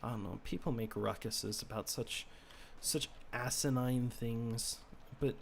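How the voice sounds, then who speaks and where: A young man from the United States streaming a game, musing in a low-key, rambling way and keeping his voice lower than usual. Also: unhurried, vocal fry, a little hiss or hum. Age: teens. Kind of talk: casual chat while gaming.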